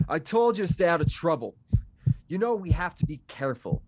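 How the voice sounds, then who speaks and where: A man's voice: fairly high pitch, sing-song, a little fast, noisy background. A man playing a weary, sardonic middle-aged drifter cowboy in an acted screenplay read. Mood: exasperated. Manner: sardonic, weary, rambling to his companion. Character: gruff middle-aged drifter cowboy, wry, talking to his robot buddy.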